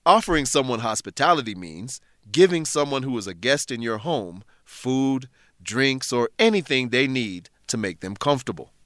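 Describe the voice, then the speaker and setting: A man presents a scripted English lesson in a deliberate, instructive manner. His mid-pitched voice moves up and down in a lively way, with a very dry sound. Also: no echo. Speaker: lesson narrator, English teacher. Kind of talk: scripted educational narration.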